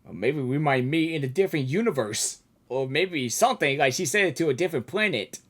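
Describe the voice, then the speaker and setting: A woman's voice: low-pitched, expressive, a little fast. A woman game streamer giving casual, informal reaction commentary and talking back to the characters.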